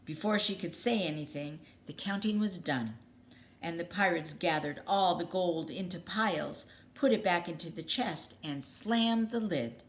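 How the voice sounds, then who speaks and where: A woman reading a children's story aloud expressively, with dramatic pauses and emphasis. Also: fairly low pitch.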